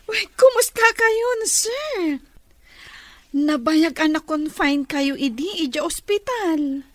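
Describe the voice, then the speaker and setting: A chirpy, high-voiced friend. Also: female voice, expressive, extremely slow, pauses often, no room sound, exaggerated voice. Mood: cheerful. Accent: Ilocano. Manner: cheerful, chatty. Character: cheerful, chatty friend of the nurse. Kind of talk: radio drama acting.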